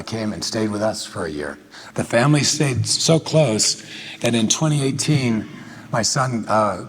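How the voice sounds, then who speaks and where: A man's voice: lowish voice, breathy edge. Older American man in a reverberant hall. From United States. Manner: humorous, self-deprecating, hesitant with many uh fillers. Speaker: cardiologist giving a tribute speech. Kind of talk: anecdotal tribute speech. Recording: long hall reverb, faint noise.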